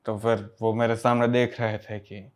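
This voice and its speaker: A young man from Gujarat with a Gujarati accent, telling a story casually to camera as a fitness vlogger, mixing in English words and pausing often. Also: fairly low pitch, flat delivery, clear, resonant tone. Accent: Gujarati-accented Hindi. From Gujarat, India. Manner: chatty, mixes English words into Hindi, many pauses.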